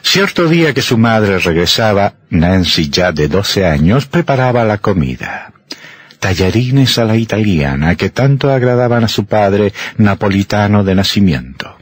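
A man narrating an old radio horror drama in a theatrical, measured, husky storytelling voice. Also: fairly low pitch, lively intonation, no room sound.

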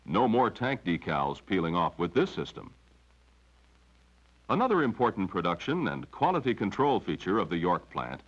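A middle-aged man narrates a company film in a measured, promotional tone. His husky voice rises and falls widely through each line. The sound is dull and lo-fi, like an old 1970s film soundtrack. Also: mid-range voice. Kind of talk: scripted corporate narration.

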